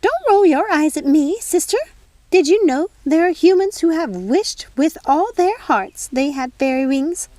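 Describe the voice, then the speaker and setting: A woman's voice: sits high, animated, unhurried, warm tone, no room sound, pitched above the performer's own voice. A woman voicing a fussy, bossy fairy godmother in an audiobook, squealing and chiding as she talks. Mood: scolding. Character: fussy, prim, bossy fairy godmother, easily vexed sister. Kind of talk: audiobook character voice.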